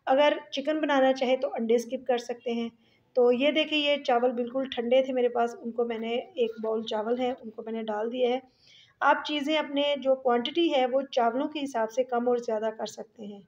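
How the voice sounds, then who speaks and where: Higher-voiced Pakistani woman narrating cooking. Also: steady tone, moderate pace, darkish tone, highs cut off. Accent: Pakistani Urdu. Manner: chatty, explaining step by step with fillers. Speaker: cooking channel host. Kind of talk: home cooking tutorial voice-over.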